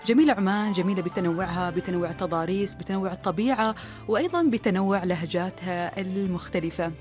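A woman's voice: lowish voice, fast, a little mellow, soft background music. A woman with an Omani Arabic accent co-hosting a radio talk show, chatting casually with many fillers.

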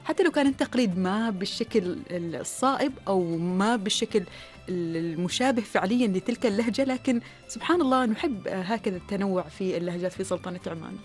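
A woman's voice: medium pitch, lively intonation, quick pace, darkish tone, soft background music. A woman with an Omani Arabic accent co-hosting a radio talk show, chatting casually with many fillers.

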